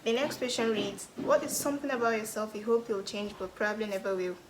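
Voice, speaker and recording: A young Nigerian woman answering get-to-know-me questions in a casual vlog, thoughtful, pausing often and sometimes dropping to a whisper. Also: medium pitch, steady tone, noisy background. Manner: thoughtful, pauses with "hmm" and "um", drops to a soft whisper at times. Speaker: vlogger.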